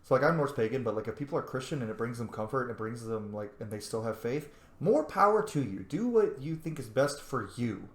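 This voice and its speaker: A man's voice: mid-range voice, expressive, brisk, hardly any pauses. A man hosting a roleplay playthrough with casual, informal commentary, talking back to the characters. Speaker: gaming channel host playing a roleplay. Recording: echoey room.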